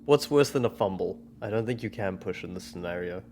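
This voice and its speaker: A man's voice: low voice, expressive, a little fast, darkish tone, a little hiss or hum. A man chatting casually as a player in a tabletop role-playing game. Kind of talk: casual chat.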